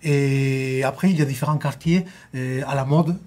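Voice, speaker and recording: A man's voice: mid-range voice, steady tone, normal speed, darkish tone. A man speaking as a guest on a studio panel, hesitant, with many restarts.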